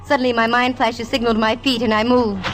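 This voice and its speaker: A woman's voice: on the high side, little pitch movement, quick pace, noisy background, lightly acted. An actress playing a wry, bold young woman detective who narrates her case in a clipped, dramatic old radio style. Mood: tense storytelling. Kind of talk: 1940s-50s radio detective acting. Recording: old radio recording with music behind.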